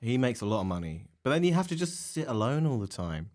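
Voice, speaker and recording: A man's voice: mid-range voice, very animated, fast talker, a little mellow. A man from Norfolk, England, with an English accent, chatting in a casual, rambling and silly way as a comedian guest on a podcast. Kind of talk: casual comic chat.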